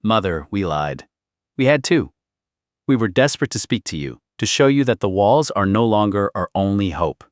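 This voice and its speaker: A man's voice: lowish voice, lively intonation, normal speed, no echo, studio quality, close to an everyday voice. A man voicing an anxious young princess in an audiobook, earnest and pleading. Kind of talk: audiobook character dialogue.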